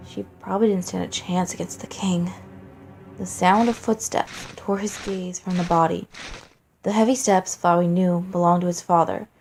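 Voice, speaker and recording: A woman's voice: mid-range voice, vocal fry, darkish tone, low-quality recording. A woman narrating a dramatic story from a script as a fan fiction reader.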